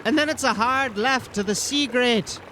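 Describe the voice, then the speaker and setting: A man acting an anxious, excitable guide in a fantasy audio drama, halting and worried. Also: extremely high pitch, slight background noise. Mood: bewildered.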